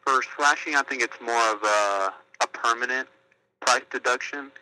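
A man's voice: medium pitch, normal speed, bright, forceful tone, a little hiss or hum, low-quality recording. A man working as a store clerk, politely and hesitantly helping a customer over the phone. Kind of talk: customer service phone call.

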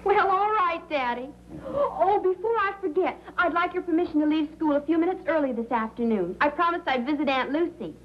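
A woman's voice: high-pitched, lively intonation, fairly quick, dull audio. A woman playing a naive, wide-eyed teenage schoolgirl in a 1950s sitcom, earnest and eager. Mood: innocent, eager. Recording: slightly noisy vintage television soundtrack.

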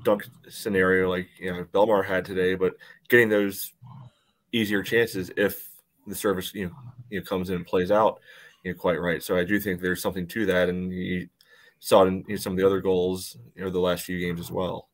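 Low, flat-voiced man talking sports. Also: moderate pace. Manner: peppers speech with "you know". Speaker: sports podcast panelist. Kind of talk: casual sports chat. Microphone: video call.

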